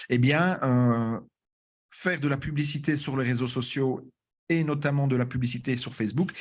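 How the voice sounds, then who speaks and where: A man hosts an online masterclass and introduces a guest over a video call. His voice is of middling pitch, and he speaks haltingly, with frequent fillers and restarts. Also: breathy edge. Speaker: webinar host.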